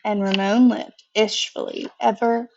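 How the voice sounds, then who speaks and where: A woman reading a picture book aloud to children in an expressive, conversational way, pausing for casual asides of her own. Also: mid-range voice, creaky, highs cut off, a little hiss or hum. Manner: expressive, conversational, pauses to comment.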